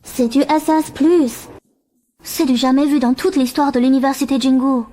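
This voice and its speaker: High-pitched excitable young woman acted by a woman. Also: normal speed, slight background noise, natural voice. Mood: excited. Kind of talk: dubbed animated drama.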